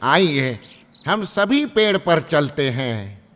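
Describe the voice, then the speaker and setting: Slow, high-voiced proud old heron. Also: male voice, sing-song, fairly bright tone, full character voice. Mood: proud, welcoming. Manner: theatrical, drawn-out, pleading delivery. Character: proud, foolish old male heron, effusive host. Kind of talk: children's animated story acting. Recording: long reverb, music behind.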